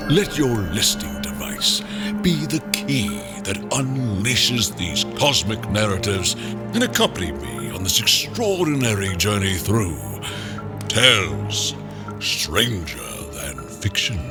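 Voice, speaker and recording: A man narrating an audio drama as a mysterious storyteller, in a portentous, deliberate manner. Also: on the low side, big pitch swings, over loud music. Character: mysterious storyteller introducing eerie cosmic tales. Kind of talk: dramatic scripted narration for an audio fiction series.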